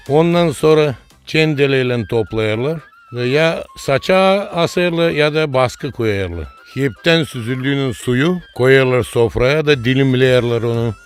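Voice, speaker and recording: Bir erkeğin canlandırdığı Gagauz aksanlı, köylü yaşlı baba. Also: male voice, mid-range voice, lightly acted. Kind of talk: animated cartoon dialogue.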